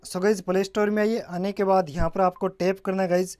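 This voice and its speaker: A man's voice: high-pitched, flat delivery, brisk, a little mellow, clear, resonant tone. Man giving a casual phone tutorial. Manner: repeatedly addresses viewers as guys, mixing in English terms. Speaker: tech tutorial presenter.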